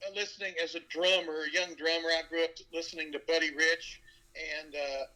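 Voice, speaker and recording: A man's voice: on the high side, little pitch movement, fairly quick, pushed and bright, rough recording. A man with a nasal voice from West Virginia, chatting as an interview guest and rambling with many fillers. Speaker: guest, tribute band frontman. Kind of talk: casual interview chat.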